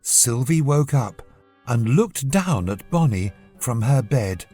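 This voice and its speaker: Children's story narrator, female: measured read-aloud pace, lots of melodic rise and fall, scripted. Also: rumbling low, expressive, dark tone, husky, faint music behind.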